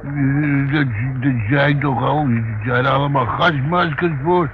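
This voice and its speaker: An actor playing a grumpy old male tramp in a radio play, halting and repeating himself as he grumbles. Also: medium pitch, close to an everyday voice. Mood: rambling. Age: older. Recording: muffled narrowband old radio recording, noisy.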